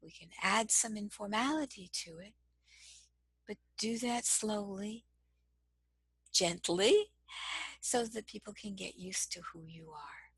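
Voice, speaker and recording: Soft, breathy woman teaching slowly. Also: mid-range voice, no echo, poor audio. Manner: slow, measured and gentle. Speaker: cross-cultural communication trainer. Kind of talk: instructional lesson to camera.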